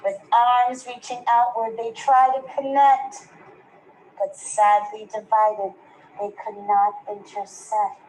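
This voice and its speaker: A woman's voice: on the high side, level tone, slow pace, darkish tone, a little hiss or hum, poor audio. A woman teacher reading a rhyming picture book aloud to young children, stopping to ask them questions. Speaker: preschool teacher.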